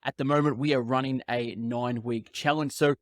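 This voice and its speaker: A man's voice: mid-range voice, steady tone, brisk, dry recording, clean studio sound. A man hosting a podcast interview, upbeat and asking loose, rambling questions. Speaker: podcast host.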